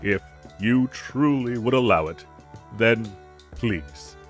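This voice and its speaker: A man's voice: mid-range voice, lively intonation, slow, faint music behind. A man playing a gallant, theatrical knight with a playful streak and formal, archaic phrasing in a fantasy audio roleplay. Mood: courteous, gentle.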